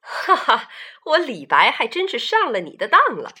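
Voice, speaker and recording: A woman's voice: high-pitched, huge pitch swings, pushed and bright, slightly rough, voice pushed up, full character voice. Li Bai, a woman doing a jovial poet. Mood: hearty. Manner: hearty and declamatory, slow stately recitation. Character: jovial, carefree male ancient poet. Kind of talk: children's radio drama.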